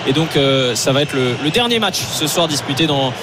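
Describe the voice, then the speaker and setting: A man's voice: on the high side, expressive, normal speed, noisy background. A man giving live football commentary on radio, hesitant with many fillers. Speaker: match commentator.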